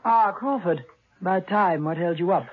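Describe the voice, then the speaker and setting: A man playing a fussy, high-voiced official. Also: moderate pace, slight background noise, lightly acted. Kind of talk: 1970s radio drama acting. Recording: dull old radio recording.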